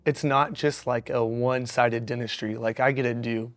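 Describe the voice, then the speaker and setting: Hoarse, upbeat young man. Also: mid-range voice, normal speed. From Missouri Ozarks. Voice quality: raspy. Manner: upbeat, animated, many fillers. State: hoarse from yelling. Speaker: dentist guest. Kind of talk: casual interview.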